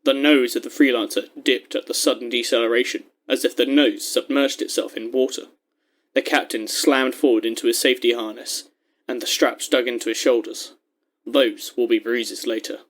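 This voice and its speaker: A man with a medium-pitched voice narrates an audio story. He reads scripted prose in a measured way, setting each scene with descriptive care. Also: fairly even intonation.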